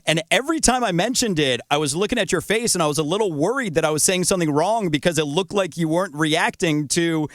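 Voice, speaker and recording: A man's voice: on the high side, fast, few pauses, no echo, clean studio sound. A man hosting an interview, chatting enthusiastically and laughing often. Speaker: interview host. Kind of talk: casual interview chat.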